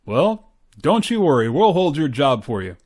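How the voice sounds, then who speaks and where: A man's voice: a little high, very animated, moderate pace, darkish tone. A man playing a pompous, stingy, patronizing boss with a hearty manner, in old-time radio sitcom style. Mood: hearty, congratulatory. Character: blustery, stingy, patronizing male boss, middle-aged or older.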